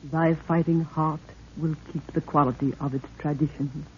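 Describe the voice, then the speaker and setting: A woman's voice: low voice, fairly even intonation, moderate pace, darkish tone, a little hiss or hum, close to an everyday voice. An actress playing a regal, solemn queen who speaks stately archaic English, in 1930s radio drama style. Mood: grave blessing. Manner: stately, formal archaic speech. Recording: tinny, band-limited 1930s radio recording.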